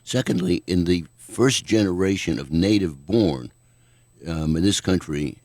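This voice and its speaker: An older man, a guest author, answering questions in a measured, thoughtful way on a radio talk show. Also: low voice, lively intonation, a little mellow, dry recording, studio quality. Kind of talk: radio interview.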